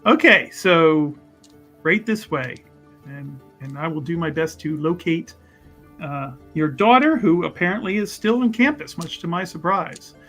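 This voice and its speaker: A man's voice: on the high side, expressive, a little slow, fairly bright tone, soft background music. A man voicing a slippery, deferential student in a tabletop game, polite, hesitant and placating.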